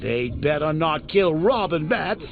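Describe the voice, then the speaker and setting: An actor playing a taunting, manic male clown villain in a video game, with a gravelly, theatrical voice that swings between whispers and shouts. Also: on the high side, lively intonation, a little slow, noisy background. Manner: mocking, sing-song cruelty. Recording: tinny lo-fi audio with music underneath.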